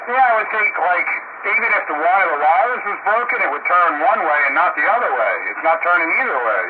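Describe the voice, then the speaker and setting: Higher-voiced man on noisy, tinny radio. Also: animated, brisk. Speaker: amateur radio operator. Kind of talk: casual radio chat. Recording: tinny radio transmission, loud static noise.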